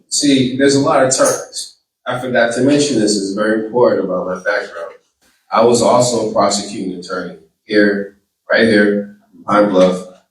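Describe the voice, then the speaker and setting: A man with a Boston accent giving a campaign speech and taking questions, earnest and often repeating his words. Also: on the low side. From Boston, Massachusetts. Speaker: political candidate. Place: large room with an audience. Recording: echoey room, distant mic.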